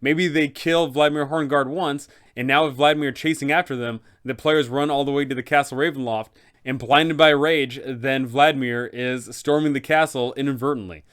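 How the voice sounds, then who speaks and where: A man's voice: mid-range voice, fairly even intonation, normal speed, a bit bright, clear, resonant tone. A man narrating advice for game masters, enthusiastic and speaking straight to viewers. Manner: enthusiastic, addresses viewers directly. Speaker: game master guide host.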